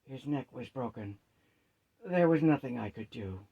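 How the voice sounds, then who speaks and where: A man's voice: medium pitch, animated, measured pace, slightly rough, dull audio. A man voicing a weary, skeptical old dwarven priest in a storybook, sober and world-weary. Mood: regretful.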